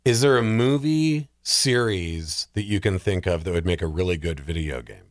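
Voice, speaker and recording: A man's voice: low-pitched, expressive, moderate pace, no echo, clean studio sound. A man talking informally as a panelist in a casual podcast conversation.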